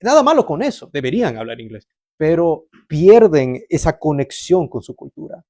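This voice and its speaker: Higher-voiced man preaching, mellow tone. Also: big pitch swings, moderate pace, no echo, studio quality. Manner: earnest, explanatory, rhetorical questions and repetition for emphasis. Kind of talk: sermon, conversational storytelling.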